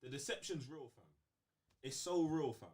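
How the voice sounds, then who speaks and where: A man's voice: mid-range voice, moderate pace, a little mellow. A man with a London accent in casual fan debate as a co-host, slangy and emphatic, repeating phrases for effect. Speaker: co-host of a fan talk show.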